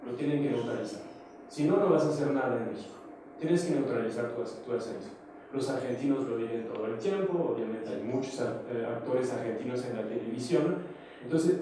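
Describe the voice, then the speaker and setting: A man giving a semi-formal, unscripted conference talk as an invited speaker, reflective, with hesitant pauses. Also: mid-range voice, mellow. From outside Mexico. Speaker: theatre company director, invited speaker. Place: large echoey conference room. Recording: very echoey hall, distant mic, faint noise.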